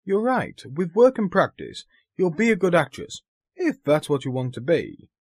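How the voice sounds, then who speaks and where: A self-assured theatre director in a radio play. He is frank and brusque, with very lively pitch in a dry, close sound. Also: normal speed, breathy edge, dry recording, full character voice. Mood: frank, guarded. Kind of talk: radio drama acting.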